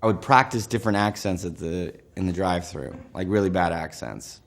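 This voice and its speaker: Guy in his 30s, lowish voice, laid-back rambling anecdotes, lots of likes and you knows. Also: a little fast. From Northern California. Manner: relaxed storytelling with frequent fillers. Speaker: interviewee. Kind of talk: casual anecdotal interview.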